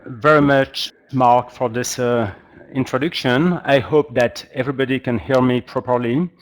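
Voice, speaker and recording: Man, strong French accent, guest expert lecturing online, hesitant and polite, medium pitch. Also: steady tone, normal speed. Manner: hesitant, searching for words, polite. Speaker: guest expert, humanitarian practitioner. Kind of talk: webinar presentation.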